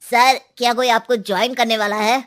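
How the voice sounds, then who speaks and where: A woman voicing a kind young male waiter in a cartoon story, speaking softly and sympathetically. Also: on the high side, fairly even intonation, normal speed, dry recording, clean studio sound, close to an everyday voice. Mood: polite.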